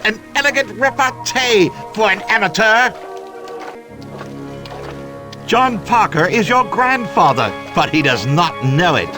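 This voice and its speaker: An actor playing a smug, traitorous cultist in a video game, mocking and declamatory. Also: male voice, sits high. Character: gloating traitorous male cultist, smug villain. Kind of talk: video game villain acting.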